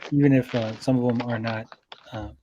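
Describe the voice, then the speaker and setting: A man on a podcast panel, making his case in a measured, explanatory way with pauses. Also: medium pitch, fairly even intonation, mellow, dull audio, slight background noise. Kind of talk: casual discussion, making an argument.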